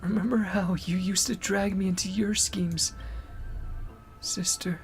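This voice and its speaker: A young warrior sister , played by a woman, speaks in a breathy, strained voice. She is loyal and desperate, in dramatic video game style, at a measured pace. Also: medium pitch, steady tone, soft background music, lightly acted. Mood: defiant, grieving.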